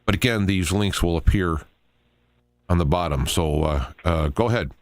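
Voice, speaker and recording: A man's voice: low voice, moderate pace, no echo. A man hosting a talk radio show in a casual, conversational style.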